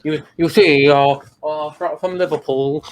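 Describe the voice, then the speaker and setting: A man's voice: fairly high pitch, fairly even intonation, unhurried. A man with a Welsh accent bantering casually as co-host, often stammering and restarting his words. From Wales.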